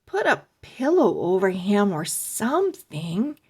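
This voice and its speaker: A woman voicing a young boy, the scared friend, speaking in a hushed, nervous way. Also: medium pitch, very animated, slow, breathy edge, heavily acted.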